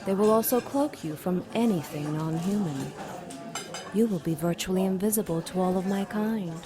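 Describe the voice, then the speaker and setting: A cold, amused demon queen, voiced by a woman who talks down to humans in a lowish, unhurried voice. She mocks slowly and sounds sure of her power. A murmur of crowd noise runs behind her in this audio drama. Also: close to an everyday voice. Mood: commanding. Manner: haughty, slow and mocking.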